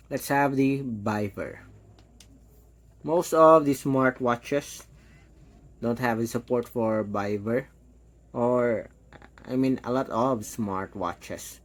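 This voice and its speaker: A man giving a casual, hands-on product demo, talking step by step in short, halting phrases. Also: mid-range voice. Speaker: product reviewer.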